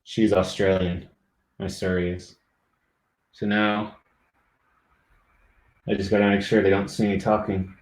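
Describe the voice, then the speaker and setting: Middle aged guy chatting away on a live stream, kinda low voice. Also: level tone, measured pace, pauses often, echoey room. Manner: rambling, chatty, talks to viewers. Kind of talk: casual live stream chat.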